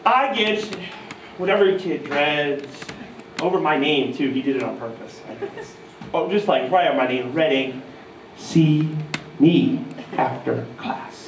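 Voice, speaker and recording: A man in his thirties from New York state, telling animated, self-mocking stories to a live audience at a guitar clinic. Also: fairly high pitch, a little slow, a little hiss or hum. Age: 30s. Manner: animated, self-mocking, mimics voices in quotes. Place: music store clinic room, audience present. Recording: roomy live sound from far off.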